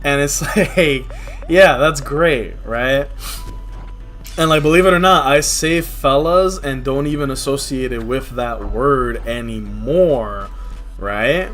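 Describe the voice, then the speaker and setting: A man's voice: medium pitch, slow pace, a bit bright. A young man from New City, talking casually and candidly in slangy, conversational livestream chat. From New York City. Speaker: game livestreamer. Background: music.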